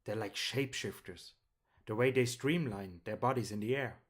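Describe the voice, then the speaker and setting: A man's voice: lowish voice, moderate pace, natural voice. A man playing a casual, mild middle-aged father in an audiobook, speaking in an understated way. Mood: casual, mildly amazed. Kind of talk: audiobook character acting.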